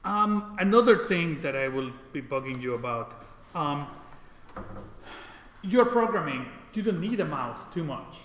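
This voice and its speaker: A man's voice: a little high, lively intonation, a little slow, fairly bright tone. A man from Mexico giving an informal programming lecture in a classroom, often checking in with short tag questions. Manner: casual, often seeks agreement with tag questions. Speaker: programming instructor. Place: indoor classroom. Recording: echoey, reverberant room with background noise.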